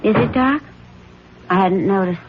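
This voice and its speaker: An actress with an American accent playing a troubled, suspicious wife, halting and earnest, in 1970s radio drama style. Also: female voice, medium pitch, lightly acted. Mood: numb, withdrawn. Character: troubled, suspicious wife confronting her husband. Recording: dull, noisy old radio broadcast.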